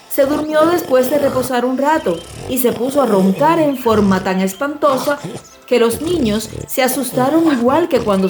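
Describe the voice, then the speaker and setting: A woman narrates a children's fairy tale in a fairly high voice. Her delivery is scripted audiobook storytelling, with soft music playing underneath.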